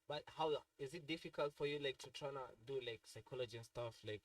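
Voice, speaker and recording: A man's voice: mid-range voice, quick pace, dry recording, far from the mic. A man hosts a radio talk show in a studio. His easygoing, chatty delivery keeps tagging lines with "yeah?". His voice sits a little back from the mic in a dry room. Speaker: radio host. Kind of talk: casual studio interview.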